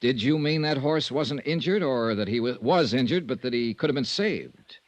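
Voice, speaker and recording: An actor playing a self-assured insurance investigator in a 1950s radio detective drama, speaking in a clipped, dry, sardonic way. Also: male voice, mid-range voice, expressive, normal speed, no echo, close to an everyday voice. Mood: pressing, impatient. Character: hard-boiled wry male insurance investigator, self-assured. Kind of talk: 1950s radio detective drama acting and first-person narration.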